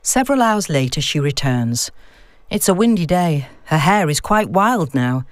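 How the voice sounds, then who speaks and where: Expressive woman narrating a story. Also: low-pitched, a little slow, no room sound. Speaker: audiobook narrator. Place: dry, close-miked recording space.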